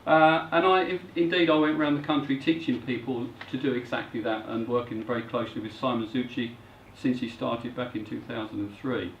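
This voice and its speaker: A middle-aged man giving a businesslike seminar presentation in a plain, measured way. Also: mid-range voice, level tone, a little hiss or hum. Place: hotel function room. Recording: far-off, echoey, dull room recording.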